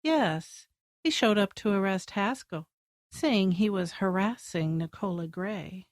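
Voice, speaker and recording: An actress playing a sultry, sardonic femme fatale in a noir audio drama, on a noisy recording. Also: female voice, medium pitch, measured pace, no echo, studio quality, natural voice. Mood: matter-of-fact. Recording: noisy track with clicks.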